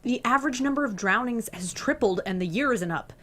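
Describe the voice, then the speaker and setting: A woman's voice: fairly high pitch, lively intonation, brisk, a bit bright. A woman playing a determined, grieving sister on a search, insistent and stubborn, in a script table read. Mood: urgent, insistent.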